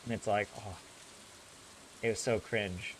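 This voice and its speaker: A man's voice: lowish voice, steady tone, unhurried, slight background noise, poor audio. A young man with a general American accent chatting casually with his viewers while he plays a game, rambling and correcting himself as he goes. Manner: rambling, chatty, self-correcting, laughs often. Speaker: gaming streamer.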